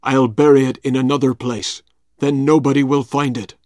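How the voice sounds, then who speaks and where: A man reading a storybook aloud, voicing a cold, menacing villain who speaks in a low, measured way. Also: flat delivery, a little mellow, no echo, clean studio sound, lightly acted. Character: menacing male villain Injun Joe, vengeful.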